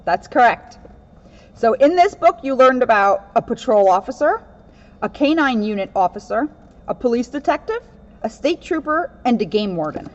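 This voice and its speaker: A woman's voice: big pitch swings, moderate pace. A woman police officer reading aloud and explaining things informally for children. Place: in and around a police car. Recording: muffled, low-grade audio with faint noise.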